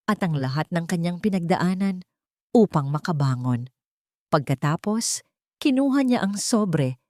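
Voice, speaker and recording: Female storyteller, lowish pitch, dry close recording; scripted romantic drama narration in measured, deliberate phrases. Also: darkish tone, no room sound.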